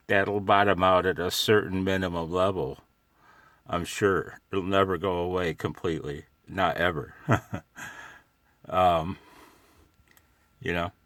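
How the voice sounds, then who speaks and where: A man's voice: low voice, a bit bright, no room sound. An older American man rambling casually to himself on a vlog from inside a parked truck, with a wry, folksy manner. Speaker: amateur radio hobbyist vlogger.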